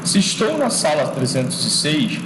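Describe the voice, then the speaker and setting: A man's voice: a little high, huge pitch swings. A male teacher giving an informal logic lesson, often checking in with "né?" and restarting his sentences as he thinks aloud. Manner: explaining slowly, frequent checks like "né?" and "tá?"; restarts and repeats words while thinking aloud. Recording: echoey classroom from far off with street noise.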